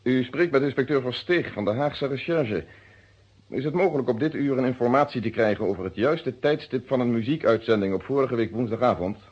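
An actor playing a methodical police inspector in a vintage radio play, with formal, precise phrasing and a Netherlands Dutch accent. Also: male voice, mid-range voice, animated, fairly quick, husky. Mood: polite, official. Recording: dull, muffled old radio recording.

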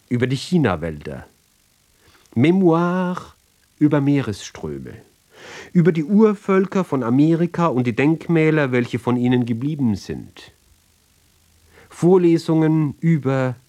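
Guy doing a slow literary radio reading, medium pitch, deliberate, lots of pauses between phrases. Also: darkish tone. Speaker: reader. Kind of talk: measured literary recitation for radio.

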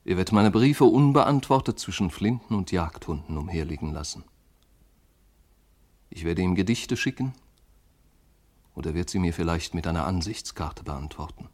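Deep-pitched, lovelorn young poet. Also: male voice, expressive, normal speed, long pauses, darkish tone. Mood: yearning, pained. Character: lovelorn, sensitive young poet leaving school. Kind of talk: literary radio drama monologue.

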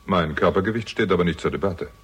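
An actor playing a shrewd, sardonic young man investigating in a German radio crime drama, with a husky voice and dry, ironic delivery. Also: low-pitched, fast talker, fairly bright tone. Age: young adult.

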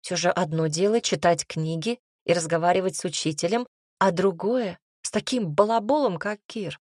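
A woman's voice: on the low side, moderate pace, a little mellow, no echo. Woman, audiobook narrator, wry, ironic, dry sound. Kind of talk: first-person fantasy audiobook narration.

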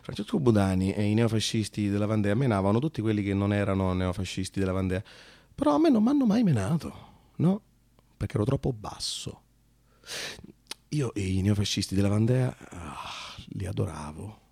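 A man performing a comic autobiographical radio monologue, halting and repeating words as he acts out bits of dialogue. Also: on the low side, big pitch swings, vocal fry, dark tone, no room sound. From Rome, Italy. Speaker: actor and narrator.

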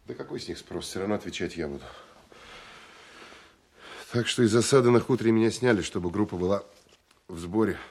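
An actor playing a tough, blunt and weary Soviet counterintelligence officer in a Russian radio drama, talking in a terse, gruff way. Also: male voice, on the low side, brisk, long pauses. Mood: bitter, dejected.

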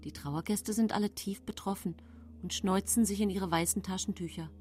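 An actress playing a composed, cold-blooded woman who narrates her own story in a measured, controlled way in a radio play. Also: mid-range voice, fairly even intonation, warm tone, natural voice. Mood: sombre. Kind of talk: scripted first-person radio play narration. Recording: music behind the voice.

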